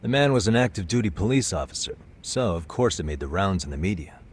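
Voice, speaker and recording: A low-pitched male detective in a video game drama, measured and confident. He questions people with a dry, wry edge, over faint background noise. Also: animated. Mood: probing, confident. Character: adult male private detective, cool, probing, wry. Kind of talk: video game cutscene acting, English dub.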